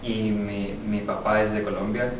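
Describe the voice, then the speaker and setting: A man from California speaking slowly and hesitantly in a student interview. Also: fairly low pitch, level tone. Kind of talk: testimonial interview. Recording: echoey room from far, noisy.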